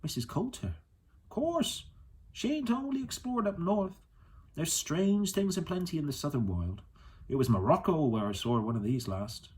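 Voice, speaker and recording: A man voicing a wise, wary old boatman in an audiobook, gruff but kindly, speaking in rustic dialect. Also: a little high, sing-song, moderate pace, darkish tone, voice pushed up. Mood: grave warning. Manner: rustic dialect with nonstandard grammar.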